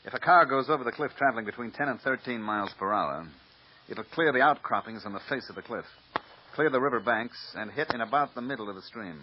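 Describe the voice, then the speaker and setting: A man playing a brisk police lieutenant. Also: mid-range voice, lightly acted. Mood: instructive. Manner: brisk, instructive. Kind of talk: 1940s radio acting. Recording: dull old radio recording, faint hiss.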